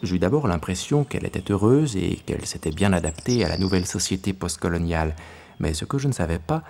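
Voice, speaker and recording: A man from South Africa giving measured, reflective scripted narration as a documentary narrator. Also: low-pitched, lively intonation, darkish tone. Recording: voice-over with clear background noise.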